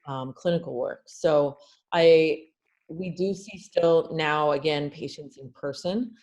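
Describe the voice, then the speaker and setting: A woman's voice: deep voice, slow, darkish tone. A woman from Canada, a guest doctor answering webinar questions on a video call, earnest and explanatory with frequent fillers.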